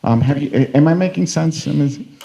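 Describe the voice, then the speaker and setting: A man's voice: on the high side, fairly quick, creaky, dark tone, lo-fi sound. A man moderating a conference panel, posing questions in a rambling way as he thinks aloud with many fillers. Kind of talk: conversational moderating.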